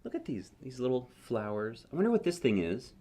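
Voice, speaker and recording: A man's voice: medium pitch, wildly swooping pitch, fairly quick, dark tone. A man reading a picture book aloud as a dad would at bedtime, expressively, with casual, wondering asides.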